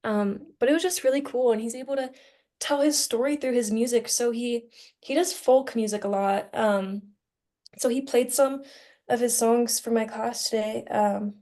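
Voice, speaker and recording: A woman's voice: on the high side, steady tone, moderate pace, warm tone. A young woman in a casual group discussion, speaking informally and with enthusiasm as a student on a podcast. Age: young adult.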